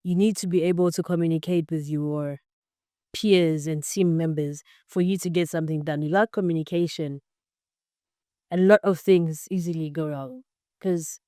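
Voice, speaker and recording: Young woman, podcast guest, lowish smooth voice, earnest and explanatory, dry close mic. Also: warm tone, no echo. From Zambia. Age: 20s. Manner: earnest, explanatory, frequent "um" and "basically". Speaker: podcast guest, computer science student. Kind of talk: casual interview answers.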